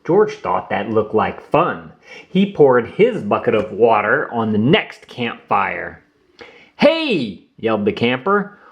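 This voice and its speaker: A man's voice: on the low side, big pitch swings, a little slow, gravelly, lo-fi sound. A man narrating a children's picture book, reading aloud expressively with theatrical emphasis for young listeners.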